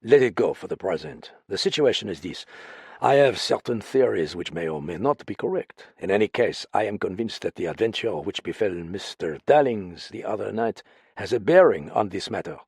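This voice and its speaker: A man voicing a gruff, opinionated titled gentleman with a clipped, growling, commanding manner. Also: fairly low pitch, animated, normal speed, no echo, studio quality. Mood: gruff, decisive.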